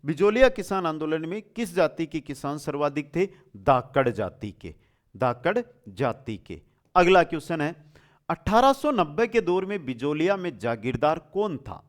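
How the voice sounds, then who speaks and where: A man runs a quiz-style exam lesson. His voice sits on the high side and moves up and down in a very lively way. He puts questions emphatically and repeats the key words so they stick. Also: normal speed. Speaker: exam coaching teacher.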